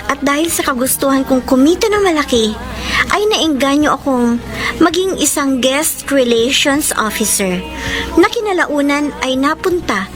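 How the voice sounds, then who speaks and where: A woman acting a poor, sweet young heroine in a radio drama, with a girlish, expressive delivery. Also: sits high, moderate pace, music in the background. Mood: earnest, sorrowful.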